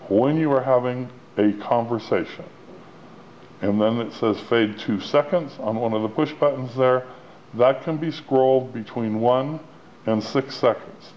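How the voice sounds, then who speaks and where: Man demonstrating a product, dull noisy recording. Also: medium pitch. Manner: plain-spoken, explanatory, step-by-step. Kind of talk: instructional product walkthrough. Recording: dull lo-fi sound with clear background noise.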